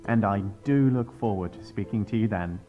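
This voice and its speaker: A man's voice: lowish voice, moderate pace, narrow, tinny audio, faint music behind, natural voice. An actor playing an officious male assistant with a dry, coolly sarcastic way of talking. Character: snippy, officious male assistant to a church dean.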